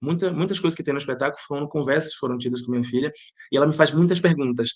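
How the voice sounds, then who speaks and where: A man's voice: a little high, very fast, a little mellow. A man from Bahia with an accent mixing Bahian and European Portuguese, a playwright and director thoughtfully answering interview questions, often restarting his sentences. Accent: Bahian Portuguese mixed with European Portuguese. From Bahia, Brazil. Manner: thoughtful, searching for words with many restarts.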